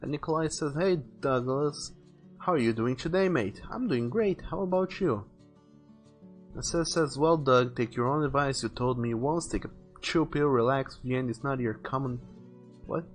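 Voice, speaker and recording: A man's voice: mid-range voice, moderate pace. A man hosting a casual art livestream, talking to viewers in an earnest, conversational way. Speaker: livestreaming artist and host. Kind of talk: casual livestream chat, reading viewer messages aloud and giving advice. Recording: music behind the voice. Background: faint background music.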